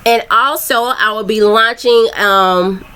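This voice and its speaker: Bright-toned chatty woman author. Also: on the high side, takes it slowly, clean tone. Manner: loose and chatty, many ums and restarts. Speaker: self-esteem coach and author. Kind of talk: casual live video chat.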